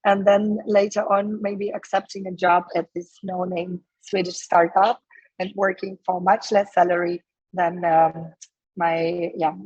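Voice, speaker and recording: A woman in her thirties from Ukraine, hoarse and losing her voice, talking casually as a guest with many fillers and restarts. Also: on the low side, darkish tone, no room sound, muffled recording. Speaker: guest, startup co-founder. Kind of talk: casual interview answers.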